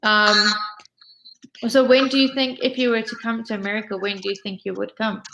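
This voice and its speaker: A woman's voice: fairly high pitch, fairly quick, creaky, highs cut off, background noise. Friendly South African woman tutor. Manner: chatty, friendly, asks follow-up questions, laughs often. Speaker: English tutor. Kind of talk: casual conversation practice. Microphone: video call.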